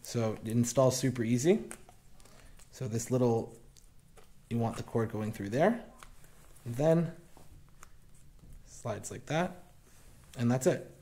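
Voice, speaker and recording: A young man casually walking viewers through installing a snowmobile accessory in a garage workshop, relaxed and plain-spoken with many fillers. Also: mid-range voice, slow pace, long pauses. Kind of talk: casual step-by-step install walkthrough.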